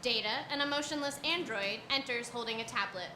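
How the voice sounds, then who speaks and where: A woman's voice: a little high, brisk, reverberant, background noise. A woman reading stage directions as scripted narration at a live staged reading of a comedy musical. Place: live stage at a theater festival.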